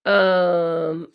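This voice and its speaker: A woman in her forties, hoarse and raspy while recovering from illness, hosting a casual live Q&A and answering viewer comments. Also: on the low side. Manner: chatty, reads viewer comments aloud and answers warmly. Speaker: decor channel host. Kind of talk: live stream Q&A chat.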